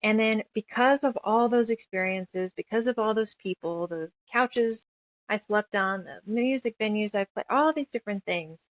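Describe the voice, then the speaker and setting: A woman's voice: medium pitch, normal speed, no room sound. Woman in her thirties, slightly dull audio. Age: 30s. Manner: rambling, emphatic, piles up lists. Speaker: guest author and musician. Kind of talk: casual interview storytelling.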